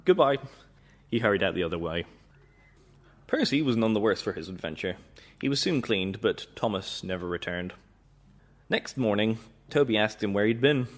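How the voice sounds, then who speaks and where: A man narrating a children's railway story in a steady, expressive read-aloud style. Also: on the low side, normal speed.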